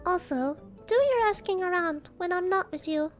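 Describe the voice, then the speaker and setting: Very high-voiced, haughty girl. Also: normal speed, faint music behind. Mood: cool, matter-of-fact. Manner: curt, dismissive, deadpan. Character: haughty, disdainful young yokai girl. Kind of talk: radio drama acting.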